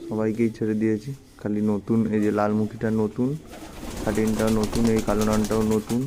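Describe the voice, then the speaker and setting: A man's voice: lowish voice, completely flat delivery, a little fast. A man giving a casual, matter-of-fact vlog narration as he walks through his pets' outdoor space. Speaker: pet vlogger showing his pigeon loft. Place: outdoor pigeon loft and garden. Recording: noisy outdoor recording with cooing pigeons and birds. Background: cooing pigeons, birdsong, ambient noise.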